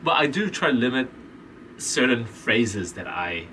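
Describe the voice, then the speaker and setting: Kiwi guy guest on a podcast, bright animated voice, bit far from the mic w faint noise. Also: mid-range voice, measured pace. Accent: New Zealand. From New Zealand. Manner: chatty, rambling, laughs easily. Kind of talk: casual chat.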